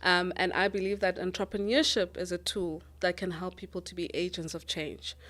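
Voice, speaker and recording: A woman from Lesotho, a managing director answering interview questions earnestly, often pausing with ums. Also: on the low side, level tone, a bit bright. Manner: earnest, thoughtful, with frequent ums and restarts.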